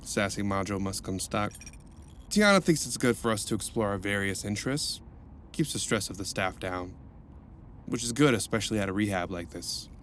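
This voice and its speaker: A man's voice: fairly low pitch, expressive, normal speed, a bit bright, slight background noise. A man playing a cheerful male rehab counselor who jokes around in an audio drama. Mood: playful. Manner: playful, jokey.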